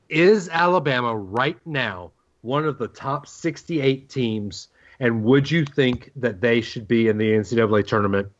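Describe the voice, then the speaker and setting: A man hosting a sports talk show, putting conversational questions to his co-hosts. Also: medium pitch. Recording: dull, narrow-band lo-fi sound.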